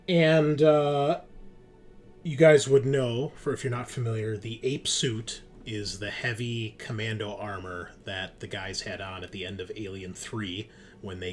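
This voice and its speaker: A man narrating a tabletop roleplaying game as game master, explaining things conversationally and addressing his players directly. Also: on the low side.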